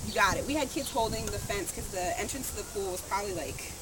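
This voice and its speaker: A woman telling a comic anecdote casually to friends, animated and giggly, acting out the dialogue. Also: medium pitch, fast talker. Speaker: amateur storyteller. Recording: very noisy amateur room recording.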